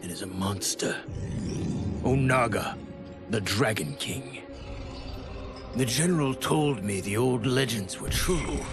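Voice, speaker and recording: An actor playing a fanatically loyal rebel soldier, telling his story in a grave, devoted way for a video game. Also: on the low side. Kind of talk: scripted game story narration. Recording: loud music behind the voice.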